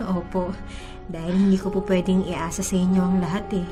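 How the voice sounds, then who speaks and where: A woman's voice: on the low side, level tone, brisk, music in the background, close to an everyday voice. A woman playing a humble, apologetic young mother with a Filipino accent in a radio drama. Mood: humble, hopeful.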